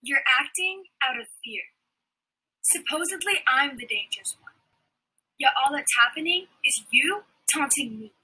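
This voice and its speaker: High, bright teenage girl reciting poetry. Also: animated, normal speed, poor audio. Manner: earnest recitation. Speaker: student poet. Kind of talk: spoken word poetry.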